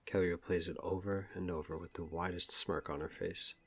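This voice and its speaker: A man's voice: sits low, steady tone, fairly quick, a bit bright, slightly rough. A man reading scripted present-tense narration for an audio drama. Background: music.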